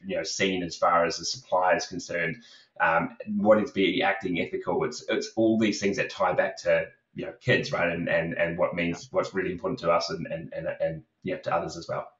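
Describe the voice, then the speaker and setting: A man's voice: low voice, little pitch movement, fairly quick. A man with young kids, a recruitment company co-founder, talking casually as a podcast guest with lots of fillers and restarts. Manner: rambling, many fillers and restarts. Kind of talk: casual podcast interview talk. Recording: roomy, distant, dull video call audio.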